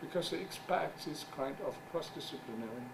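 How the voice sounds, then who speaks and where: Halting non-native man lecturing from afar. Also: on the high side, gravelly. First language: German. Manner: halting, searches for words, wry asides. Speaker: journal editor giving a talk. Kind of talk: conference presentation. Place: conference room. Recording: distant mic with background noise.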